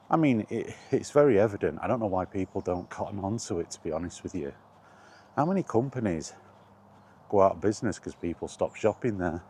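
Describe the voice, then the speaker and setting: A man's voice: low voice, mellow, a little hiss or hum. A British man giving a rambling, casual vlog chat in a blunt, opinionated manner. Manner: opinionated, blunt, mildly sweary. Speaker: video blogger.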